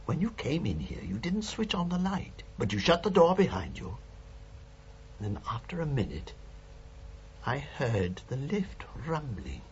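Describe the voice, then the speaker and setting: Casting: anxious British male lodger for radio crime drama, courteous, faltering and appalled, with lively, varied pitch. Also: measured pace. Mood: uneasy, probing. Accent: British English. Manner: hesitant, polite, appalled. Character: nervous, polite male lodger questioning a murderess. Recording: dull radio recording with faint hiss.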